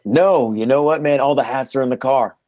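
A young man in casual podcast chat, laid-back and slangy, his voice worn and going hoarse. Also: mid-range voice, brisk, darkish tone, dry recording. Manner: laid-back, says man and dude a lot. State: losing his voice. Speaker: podcast co-host, PE teacher.

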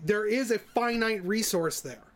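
Male podcast co-host, notably high-pitched voice, opinionated in loose, casual debate. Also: expressive, a little slow.

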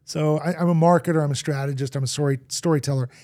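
Animated storytelling man, mellow voice. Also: fairly high pitch, fast, dry recording, clean studio sound. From grew up in Argentina. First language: English. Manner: lively storyteller acting out anecdotes. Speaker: guest, marketing executive. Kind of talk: podcast conversation.

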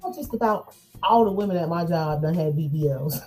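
A woman's voice: on the low side, brisk, mellow, soft background music. A middle-aged woman co-hosting a podcast, bantering casually in a frank, teasing, slangy way. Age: 40s or older. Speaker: podcast co-host and poetry show host.

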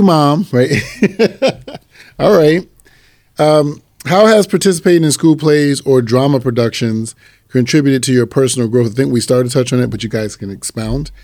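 A man's voice: mid-range voice, darkish tone. A middle-aged man hosting a conversational interview, encouraging and echoing back what his guests say. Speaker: talk show host, school superintendent.

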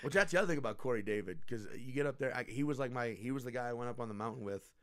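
Animated storytelling man, dry close sound. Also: mid-range voice, racing, dry recording. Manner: animated storyteller, swears freely, acts out quotes. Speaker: guest comedian. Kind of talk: casual podcast chat.

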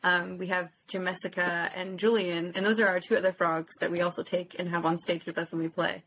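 A woman's voice: lowish voice, little pitch movement, fairly quick, fairly bright tone, slight background noise, low-quality recording. A nineteen-year-old woman from Southern California who sings in a band, talking casually and hesitantly with many ums. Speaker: band singer. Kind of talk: casual interview chat.

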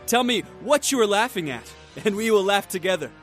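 A woman's voice: medium pitch, expressive, a little fast, soft background music, natural voice. A woman reading an audiobook, voicing a hot-headed young swordsman who speaks in a challenging, exasperated way. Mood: angry challenge. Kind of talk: audiobook character voice.

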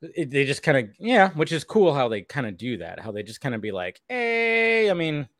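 A man's voice: fairly high pitch, big pitch swings, normal speed, fairly bright tone, no echo, clean studio sound. A man co-hosting a casual discussion show, talking in a rambling, slangy way that wanders into tangents. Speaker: co-host of animated series discussion show. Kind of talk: casual chat.

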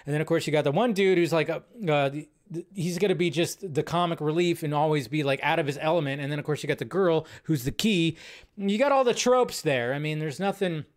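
A man's voice: on the high side, brisk. A man hosting a casual live movie show, giving rambling, sardonic reactions and commentary with loose, sweary language.

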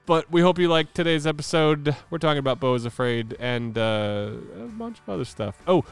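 A man's voice: on the high side, lively intonation, brisk, smooth, faint music behind. Stuffy-nosed man hosting a podcast. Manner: rambling, filler-heavy, laughs often. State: sick with a stuffy nose. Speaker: podcast co-host. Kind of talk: casual podcast chat.

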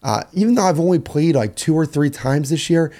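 Young guy with a hoarse dark voice, rambling about football on his podcast. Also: a little high, expressive, a little fast. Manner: casual, rambling, repeats phrases. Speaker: podcast host. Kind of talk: solo sports podcast talk.

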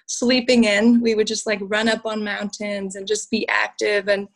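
Relaxed young woman from Switzerland, flat voice. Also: medium pitch, moderate pace, low-quality recording. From southern Switzerland. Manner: relaxed, uses um and like often. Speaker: guest, cookbook author. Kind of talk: casual interview talk.

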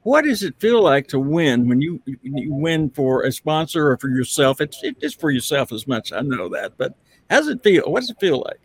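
A man's voice: a little high, big pitch swings, normal speed, husky. A man hosting a podcast interview, asking long, winding questions in a casual way. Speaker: podcast host.

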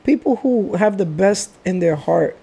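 Gravelly, mellow-voiced Bronx man musing. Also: high-pitched, expressive, measured pace. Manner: reflective, rambling, laughs often. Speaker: podcast host. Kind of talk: casual podcast chat.

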